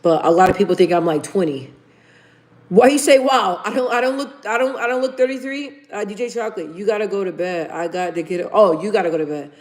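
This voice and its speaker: A woman's voice: medium pitch, a little fast, darkish tone. A woman in her thirties hosting a casual livestream, chatting informally with viewers and reading their comments aloud. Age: 30s. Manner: chatty and informal, addresses viewers as "you guys". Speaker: livestream host.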